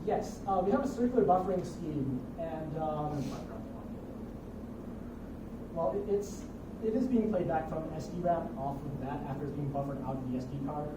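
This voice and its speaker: A young man giving an informal technical demo as a student presenter, explaining in a halting, hedging way. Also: on the high side. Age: young adult. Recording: noisy roomy capture from far off.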